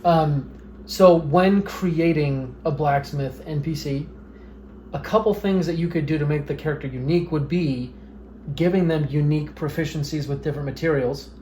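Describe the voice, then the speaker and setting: A man's voice: fairly high pitch, normal speed, darkish tone. A man hosting an interview, listening attentively with short hums and summing up what his guests say. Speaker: podcast host. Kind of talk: casual interview talk. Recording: echoey room with faint noise.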